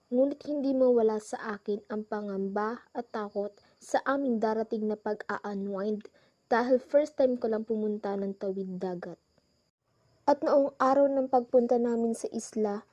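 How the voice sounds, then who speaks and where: Woman acting an anxious, soft-toned young narrator. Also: on the high side, moderate pace, dry recording, natural voice. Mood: anxious, foreboding. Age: young adult. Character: anxious, cautious young woman narrating her island trip. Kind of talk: scripted first-person radio drama narration. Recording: dull, dry lo-fi student recording.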